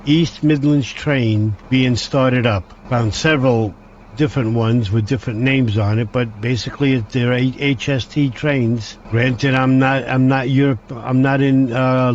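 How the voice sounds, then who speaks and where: Man at mid pitch, halting train-sim commentary, dull lo-fi track, faint engine hum. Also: steady tone, darkish tone. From Hungary. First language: Hungarian. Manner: halting, with frequent restarts, talks through each control step. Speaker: train simulator player. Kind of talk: gameplay commentary.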